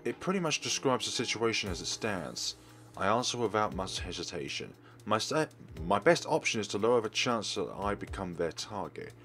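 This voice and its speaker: A man's voice: fairly low pitch, animated, normal speed, a bit bright. A man reading a visual novel's text aloud expressively as a let's player, in a formal manner with small stumbles. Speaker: let's play streamer. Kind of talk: dramatic read-aloud of game text.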